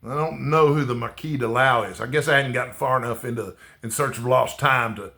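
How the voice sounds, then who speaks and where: A man's voice: medium pitch, gravelly, sharp tone, roomy sound. Chatty man with a slight Texan accent. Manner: rambling, repeats "okay?" often, halting restarts. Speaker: booktuber. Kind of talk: casual chat to camera.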